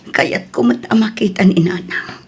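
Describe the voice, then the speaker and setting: A woman's voice: mid-range voice, creaky, slight background noise, natural voice. A woman playing an ailing, grieving daughter in an audio drama, speaking sorrowfully. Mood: pained, weak. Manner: slow, sorrowful delivery. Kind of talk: radio-style drama acting. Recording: music bed under the voice.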